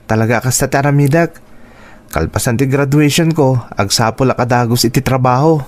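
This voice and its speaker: A man's voice: mid-range voice, fairly quick, no room sound, close to an everyday voice. A man playing a dutiful grown son in a radio drama, earnest, respectful and plaintive, with an Ilocano accent. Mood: earnest, hopeful. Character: earnest, dutiful grown son telling his hurtful life story.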